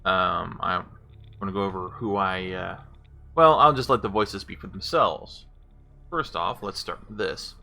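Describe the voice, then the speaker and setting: Low, bright-voiced man demonstrating software. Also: a little slow, vocal fry. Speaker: video demonstrator, gamer. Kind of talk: casual hands-on demonstration, talking to voice software.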